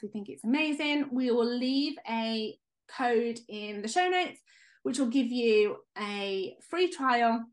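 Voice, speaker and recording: Woman, podcast co-host, casual chat, enthusiastic, slightly high, voice going hoarse. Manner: enthusiastic, chatty. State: losing her voice.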